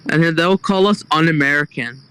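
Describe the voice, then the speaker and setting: Bright, high-voiced man chiming in. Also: fairly quick, clean tone, poor audio. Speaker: call guest. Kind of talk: casual group voice chat.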